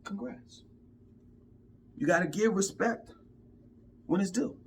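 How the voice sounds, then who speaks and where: A man's voice: high voice, slow pace, vocal fry, a little hiss or hum. A man in his fifties giving an emphatic, profane, preachy rant to camera in street talk. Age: 50s. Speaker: vlogger.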